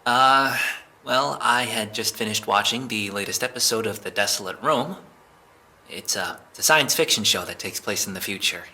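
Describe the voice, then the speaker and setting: A man's voice: lowish voice, normal speed, bright, forceful tone. A man playing a flustered, defensive young suspect in an audio drama police interrogation, hesitant and rambling as he explains himself. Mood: hesitant, explaining.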